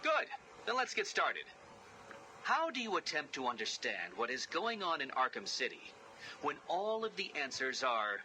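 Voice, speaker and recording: A bright, sneering, arrogant genius villain. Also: male voice, mid-range voice, slight background noise, exaggerated voice, poor audio. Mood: taunting. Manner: smug, sneering, theatrical, mocking. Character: arrogant male genius villain, taunting, narcissistic, theatrical. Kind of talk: video game voice acting.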